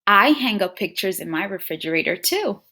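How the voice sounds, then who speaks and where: A woman teacher reading a picture book aloud to young children, expressively, pausing to muse and put questions straight to her listeners. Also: mid-range voice. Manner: expressive, addresses children directly with frequent questions and musing hums.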